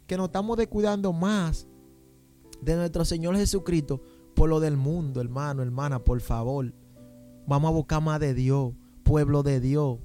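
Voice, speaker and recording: A man's voice: on the high side, warm tone. A man preaching a fervent sermon, pleading and calling out praise between phrases. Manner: exclaims "gloria a Dios" and "aleluya" constantly, pleading. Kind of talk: fervent Pentecostal-style sermon.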